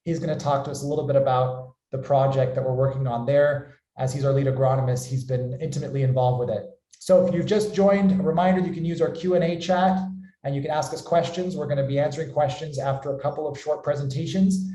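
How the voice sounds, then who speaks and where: A man's voice: fairly high pitch, steady tone, quick pace, hardly any pauses, mellow. An upbeat American man speaking as a company founder, introducing and hosting an online webinar with enthusiasm. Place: indoor room, online webinar call. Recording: roomy, echoey sound.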